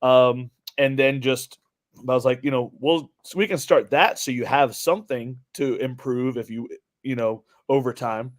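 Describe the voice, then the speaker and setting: A man speaks as a podcast co-host in a voice of middling pitch. His delivery is conversational and rambling, looping through what he said to people as he tells it. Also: fairly even intonation, normal speed. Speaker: podcast co-host, fitness coach. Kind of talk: casual conversational storytelling.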